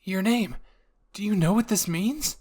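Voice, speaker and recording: Man acting an excitable, high-voiced young soldier. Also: measured pace, breathy edge. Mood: excited. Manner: eager, urgent.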